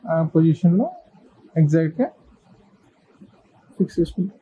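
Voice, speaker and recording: A man's voice: a little high, normal speed, warm tone, husky, highs cut off. A man giving a casual hands-on tutorial, explaining step by step in short instructional phrases. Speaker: presenter of a how-to video.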